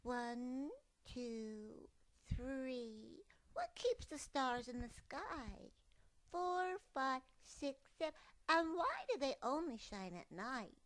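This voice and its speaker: A woman's voice: sits high, big pitch swings, very slow, a bit bright, pitched above the performer's own voice, full character voice, rough recording. A woman voicing a curious little girl bear cub who talks in a playful, wide-eyed way in a storybook. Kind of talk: storybook character voice.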